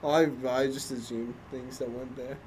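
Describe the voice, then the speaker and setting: A young man in casual podcast chat, loud and skeptical, quick to push back. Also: medium pitch, fairly even intonation, slight background noise, poor audio. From Australia. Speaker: podcast host.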